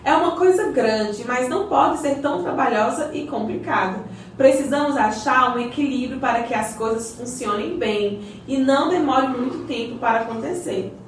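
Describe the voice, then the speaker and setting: A woman's voice: high voice, moderate pace, few pauses, natural voice. A woman playing an encouraging, well-informed community guide in a scripted radio soap, explaining things didactically. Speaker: character explaining community projects. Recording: very echoey, distant mic, faint noise.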